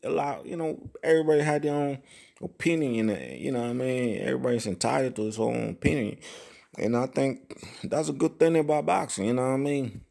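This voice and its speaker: A man's voice: medium pitch, animated, a little fast, creaky, darkish tone. A man hosting a boxing channel, giving casual, profane commentary and often asking "you know what I mean?".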